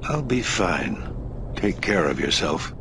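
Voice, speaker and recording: An actor playing a calm, reassuring older man who runs a space station and protects the fugitives. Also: low-pitched, slow pace, exaggerated voice. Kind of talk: video game cutscene acting. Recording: noisy ambient background.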